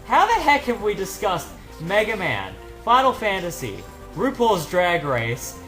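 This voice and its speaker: A man chatting casually as he plays, with a very lively, swooping delivery. He rambles and jokes, breaking into exclamations. Game music runs clearly behind him. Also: sits high, measured pace. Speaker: let's play host. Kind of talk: casual gaming banter. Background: video game music.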